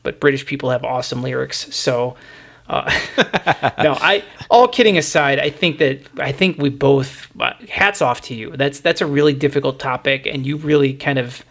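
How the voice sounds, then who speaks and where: A man's voice: mid-range voice, moderate pace, creaky, a bit bright. A man hosting a casual music discussion, talking in a rambling, opinionated way. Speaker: music podcast host.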